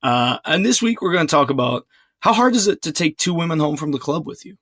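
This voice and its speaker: Husky young man with dry sarcasm. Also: on the high side, lively intonation, fairly quick, fairly bright tone, no room sound. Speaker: podcast co-host. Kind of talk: casual banter. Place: small closet.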